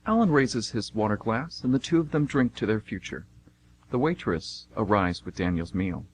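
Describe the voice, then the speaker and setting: A man's voice: on the low side, expressive, normal speed, slight background noise, poor audio. A man reading scripted narration for an amateur radio play.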